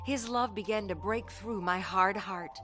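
A young carnival woman telling her hard story in a radio drama. Her voice is bright and clear-cut, mid-pitched and earnest, with dramatic delivery. Faint music sits behind her. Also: a little slow. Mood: reflective, earnest. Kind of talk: radio drama narration and dialogue.

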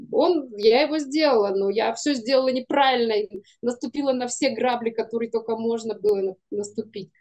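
A woman in her forties talks casually as a guest on a video call. Her voice sits a little high and has a very mellow, relaxed tone. She is frank and self-deprecating, explaining things in an informal, conversational way. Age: 40s. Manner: candid, self-deprecating, laughs while talking. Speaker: guest, animation studio producer. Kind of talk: casual interview chat. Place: indoor room, video call.

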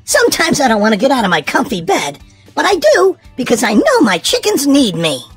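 A woman reads a children's storybook aloud in an expressive, sing-song way, leaning on key words for young listeners. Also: sits high, fairly quick, faint music behind.

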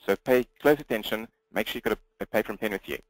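A man with a South African accent giving a webinar presentation as a business coach, talking fast with a slight mumble and frequent restarts. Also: fairly low pitch, dry recording, low-quality recording.

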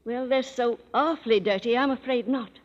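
A woman's voice: fairly high pitch, moderate pace, close to an everyday voice. An actress playing a chiding, homely grandmother in a 1930s radio advertisement skit. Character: fussy, cheerful grandmother. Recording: dull old radio broadcast.

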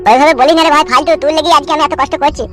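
A woman's voice: high voice, fairly even intonation, rapid-fire, a bit bright, soft background music. A woman voicing an earnest, pleading villager who plots in a comedy cartoon.

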